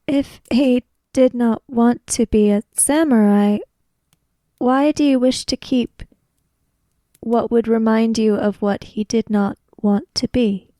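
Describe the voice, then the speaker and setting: A woman voicing a composed, formal samurai in a tabletop game, measured, logical and direct. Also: a little high, warm tone, no echo. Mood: calm, probing.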